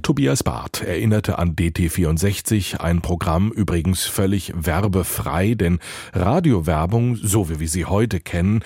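A man's voice: sits low, unhurried, a little mellow, husky, no echo. A man presenting a radio programme from a script as host.

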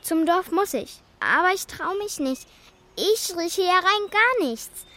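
A woman's voice: extremely high pitch, expressive, takes it slowly, sharp tone, heavily acted. A female actor playing an anxious but determined young girl in a children's radio play, direct and childlike.

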